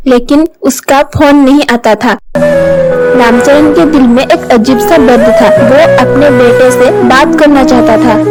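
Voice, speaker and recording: A woman's voice: sits high, darkish tone, low-quality recording. A woman storyteller reading a scripted story narration. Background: background music.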